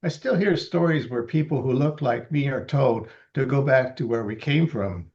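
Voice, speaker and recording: A man in his seventies from Los Angeles reads his own poems slowly and deliberately, with halting, chatty asides between them. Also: mid-range voice, a little mellow, dull audio. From Los Angeles, USA. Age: 70s. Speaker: poet reading his libretto. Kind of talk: poetry reading with casual asides.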